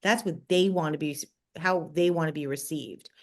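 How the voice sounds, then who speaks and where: A woman's voice: sits low, normal speed. A woman with a New Jersey accent giving conversational advice as an interview guest, explaining things emphatically and directly. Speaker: podcast guest, communications expert.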